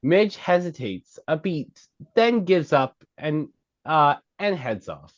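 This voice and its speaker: A man's voice: on the high side, big pitch swings, slow. A man narrating, reading out screenplay stage directions at a table read. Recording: dull, lo-fi sound.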